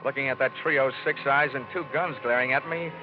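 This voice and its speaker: An actor playing a cool, wisecracking hardboiled investigator, dry and sardonic, in 1950s radio noir style. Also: male voice, mid-range voice, steady tone, fast talker, music in the background, natural voice. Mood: wry, narrating. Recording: muffled vintage radio recording.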